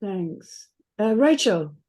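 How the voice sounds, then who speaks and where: A woman's voice: medium pitch, very animated, slow pace, darkish tone. A middle-aged woman chairing a casual group discussion and giving feedback. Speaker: chair of a script feedback panel.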